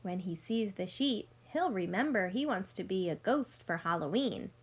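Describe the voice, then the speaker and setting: A woman reading a picture book aloud like a teacher, speaking deliberately to young listeners and explaining as she goes. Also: medium pitch, lively intonation, husky. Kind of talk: read-aloud storytelling with teaching asides.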